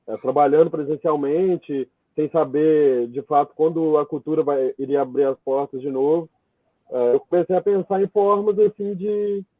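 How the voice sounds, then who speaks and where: Halting Brazilian man on thin, band-limited audio. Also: a little high, no echo, phone-quality sound. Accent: Brazilian Portuguese. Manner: halting, meandering, thinking aloud. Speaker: guest, theatre writer and director. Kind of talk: informal interview answer.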